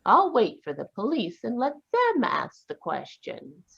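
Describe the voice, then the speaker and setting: A woman voicing a brisk, independent, sharp-tongued spinster in a live radio drama, with spirited, indignant retorts. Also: on the high side, wildly swooping pitch, creaky, breathy edge, heavily acted. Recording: dull lo-fi video call audio.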